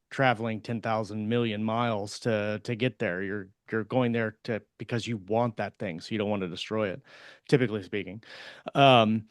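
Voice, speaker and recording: A man talking casually as a podcast co-host, in a rambling, halting way that circles around his point. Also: on the low side, dry recording, studio quality. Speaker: podcast co-host and fiction writer. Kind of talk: casual discussion.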